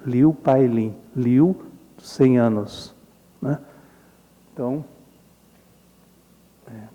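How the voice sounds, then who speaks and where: A middle-aged Brazilian man giving a relaxed symposium lecture, explaining slides in a chatty way and often adding tag questions. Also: medium pitch, drawn-out words, lo-fi sound. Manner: conversational, frequent tag questions, chuckles often. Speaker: Jungian analyst lecturing at a symposium. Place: lecture hall. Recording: reverberant lecture hall.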